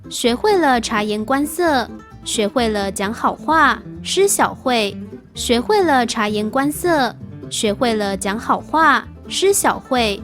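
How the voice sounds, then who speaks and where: A woman's voice: a little high, animated, takes it slowly, a bit bright. A woman giving a scripted reading of short quiz prompts. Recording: music playing behind the voice. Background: instrumental music.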